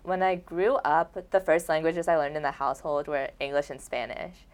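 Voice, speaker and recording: Smooth-voiced young woman chatting casually. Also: low-pitched, normal speed. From Oregon, United States. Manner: relaxed, rambling, many filler words. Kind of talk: casual conversation.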